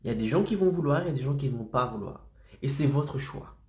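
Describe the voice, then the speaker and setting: Insistent coach with a slight cold. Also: male voice, mid-range voice, animated, fast, darkish tone, echoey room. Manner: insistent, keeps checking in with "d'accord?" and "ok?". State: has a slight head cold. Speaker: marketing coach for freelancers. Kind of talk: motivational live stream talk.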